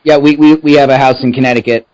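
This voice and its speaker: A man in his thirties, co-hosting a podcast from his house. He speaks earnestly and a little haltingly, repeating words as he finds them, on dull lo-fi audio. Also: medium pitch, fairly even intonation, darkish tone. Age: 30s. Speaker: podcast co-host. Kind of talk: casual interview chat.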